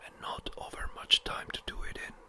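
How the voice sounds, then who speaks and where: A narrator whispering the lines of a brisk, somewhat impatient village rector in a ghost story. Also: lightly acted. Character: fretful elderly village rector, a man.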